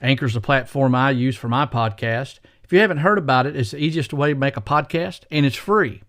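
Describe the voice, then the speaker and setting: A man's voice: medium pitch, a little fast, a bit bright, no room sound, clean studio sound. A man hosting a podcast, reading scripted introductions and promotional copy. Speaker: podcast host. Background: faint music.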